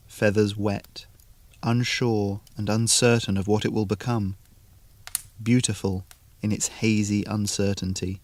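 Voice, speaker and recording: A man's voice: fairly low pitch, a little mellow. A man narrating a scripted short story as the storyteller.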